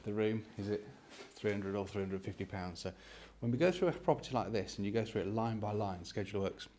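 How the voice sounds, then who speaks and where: British man with a lowish voice. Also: fairly even intonation, quick pace, darkish tone, slight background noise. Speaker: property investor and vlog host. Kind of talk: casual explainer to camera, property walkthrough. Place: indoors, empty house being renovated. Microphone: handheld phone at arm's length.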